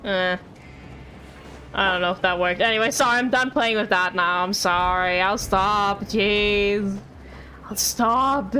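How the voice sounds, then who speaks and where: A woman's voice: medium pitch, takes it slowly, pushed and bright, slight background noise. A woman streamer giving casual game commentary in a playful, pleading and apologetic way. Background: game music.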